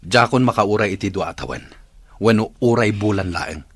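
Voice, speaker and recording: Very deep-voiced, evasive Filipino suitor. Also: male voice, animated, moderate pace, breathy edge. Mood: irritated, defensive. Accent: Ilocano Filipino. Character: evasive, smitten man juggling two women. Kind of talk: Ilocano radio drama acting.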